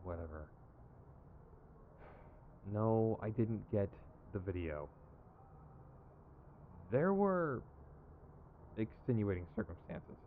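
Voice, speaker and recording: Man playing exasperated villain with a soft spot, very animated pitch, unhurried pace, sardonic and emphatic, audio roleplay, noticeable background noise. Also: narrow, tinny audio. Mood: exasperated, casual. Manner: conversational, sardonic, sweary, emphatic. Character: exasperated male villain with a protective, principled streak. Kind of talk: ASMR roleplay acting.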